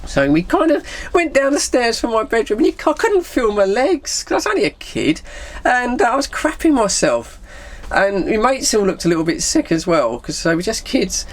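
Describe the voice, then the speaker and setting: A man's voice: very high-pitched, moderate pace. A middle-aged man, telling an anecdote casually and animatedly, acting out the dialogue. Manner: animated, acts out dialogue with put-on voices. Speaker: video storyteller.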